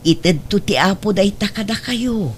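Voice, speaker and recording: A woman's voice: medium pitch, animated, a little slow, slight background noise, close to an everyday voice. A woman acting an exasperated but fond older mother in an audio drama, with an Ilocano Filipino accent. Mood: chiding, consoling.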